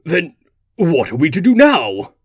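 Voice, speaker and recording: A man playing a pompous, hot-tempered nobleman in a comic dub, with a husky, declamatory voice. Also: on the high side, huge pitch swings, unhurried, no room sound, clean studio sound, full character voice. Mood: blustering, commanding. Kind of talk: amateur comic dub acting.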